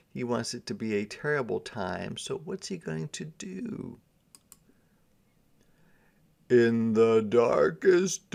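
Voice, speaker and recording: Man, teacher reading aloud, slow pace, gravelly creak, dramatic storybook narration, explanatory asides. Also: medium pitch. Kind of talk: interactive children's storybook read-aloud.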